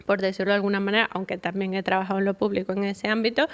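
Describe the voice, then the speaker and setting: A woman with a Venezuelan accent speaks as a podcast guest, a psychologist in relaxed conversation. She thinks aloud as she goes, with frequent fillers and pauses, her voice sitting at a medium pitch for a woman.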